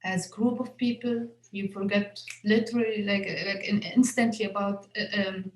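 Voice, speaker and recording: Young woman, arabic first language, halting english full of uhs, echoey room. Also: mid-range voice, fairly even intonation. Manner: hesitant, many fillers and restarts. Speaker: film director. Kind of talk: festival Q&A conversation.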